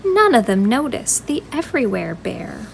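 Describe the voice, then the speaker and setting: A woman's voice: on the high side, sing-song, normal speed, vocal fry, a little hiss or hum. A woman hosting a children's library storytime, reading rhymes aloud expressively and addressing small children in a friendly, sing-song way. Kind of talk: expressive read-aloud of a rhyming picture book for young children.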